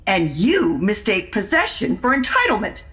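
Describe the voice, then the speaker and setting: A woman acts a tart society lady in an audio drama. She is haughty and unflappable, with dry, sardonic phrasing. Her intonation is highly animated. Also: moderate pace. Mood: tart, defiant.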